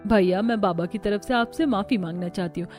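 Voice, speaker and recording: Woman acting a mellow, earnest young sister. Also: medium pitch, a little fast, soft background music, natural voice. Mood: apologetic. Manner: earnest, pleading, polite. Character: gentle, earnest young sister, dutiful and apologetic. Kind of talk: Hindi cartoon story acting.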